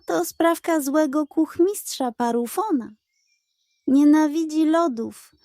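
A wise storybook owl, as a woman narrator puts her on, speaks in a high, soft-edged voice. She is grave and emphatic, pressing her warnings with care. The sound is close and dry. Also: dry recording. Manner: urgent, solemn, emphatic. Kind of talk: children's audiobook storytelling.

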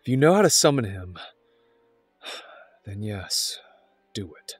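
Excitable male elf companion played by an actor for fantasy audio drama, wry and casual, animated pitch, very dry. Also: takes it slowly, husky, no room sound, faint music behind, exaggerated voice. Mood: resigned. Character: excitable, wry part-elf adventurer, eager to please.

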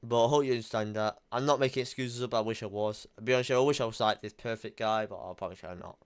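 A man's voice: on the low side, fast talker, clean tone, no room sound. A young man talking casually on a call from his home gaming room, blunt and emphatic, swearing now and then. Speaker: YouTuber.

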